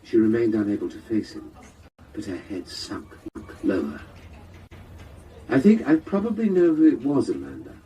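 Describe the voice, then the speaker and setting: A man playing an audiobook narrator reading a brooding crime novel in a measured, ominous, literary style within an audio drama. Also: lowish voice, sing-song. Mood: grave, suspenseful. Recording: distant playback over loud vehicle noise.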